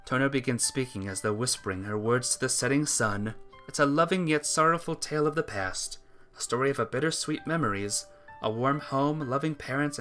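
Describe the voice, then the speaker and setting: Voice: mid-range voice, a bit bright. A person reading a visual novel's narration aloud for a playthrough, occasionally stumbling over the script.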